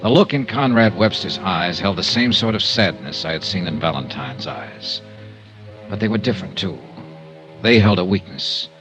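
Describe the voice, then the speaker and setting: An actor playing an earnest, persistent insurance investigator in a 1950s radio noir, plain-spoken and clipped. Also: male voice, sits low, expressive, moderate pace. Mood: somber narration. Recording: dull vintage radio recording, music behind.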